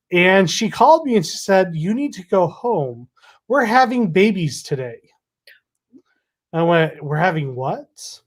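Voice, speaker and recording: A man, hosting a casual chat and teasing his co-host. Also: sits high. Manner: easygoing, teasing.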